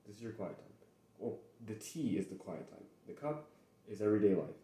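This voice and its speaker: A young man in casual solo chat as host, rambling and halting with many restarts, mentions speaking English natively. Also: lowish voice, animated, mellow, slight background noise. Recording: echoey room.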